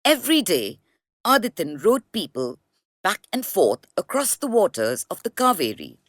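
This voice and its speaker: A woman narrating a children's storybook read-aloud in a deliberate, expressive storytelling manner. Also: mid-range voice, dry recording, studio quality.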